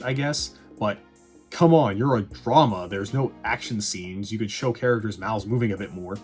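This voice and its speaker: A man with a husky voice giving casual, scripted movie review commentary in a sarcastic, irreverent, sweary manner. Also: lowish voice. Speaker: video reviewer.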